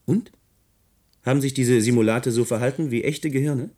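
An actor playing an earnest, troubled young man fresh from his studies in a German science-fiction radio play, sometimes halting as he speaks. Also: mid-range voice, dark tone, no room sound, studio quality, lightly acted. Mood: curious, polite. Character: earnest young male communicator, recently graduated, troubled by guilt.

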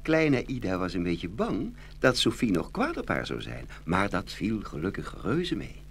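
A man narrating a scripted children's fairy tale in an expressive, dramatic storytelling manner. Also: fairly low pitch, unhurried, slight background noise.

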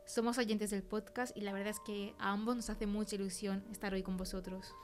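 A young woman podcast guest with a Spain Spanish accent. Her voice is mid-pitched and she gives a conversational testimony, with faint music in the background. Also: little pitch movement, brisk. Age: young adult. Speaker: podcast guest, film producer.

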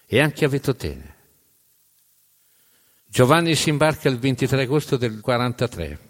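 A man narrating a scripted historical story in a deliberate, dramatic way, with emphatic pauses. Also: medium pitch, big pitch swings. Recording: long hall reverb.

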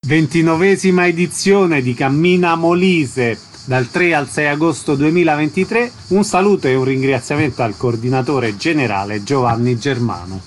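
Higher-pitched male radio interviewer. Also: moderate pace, few pauses, a little hiss or hum. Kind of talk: announcing and brief interview questions.

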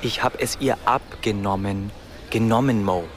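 An actor playing a remorseful, self-pitying young man, halting and defensive. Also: on the low side, fairly even intonation, background noise, lightly acted. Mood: brooding.

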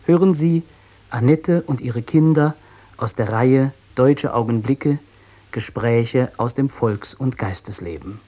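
A man reading a scripted introduction as an author, in a formal, measured, academic manner. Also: medium pitch, warm tone. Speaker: author introducing his radio play. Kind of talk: scripted introduction read aloud.

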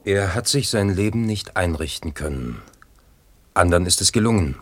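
A man playing a sober commentator in a literary radio play, narrating in a measured, matter-of-fact way. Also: low voice, lively intonation, a bit bright, slight background noise. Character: sober male commentator recounting a poet's life.

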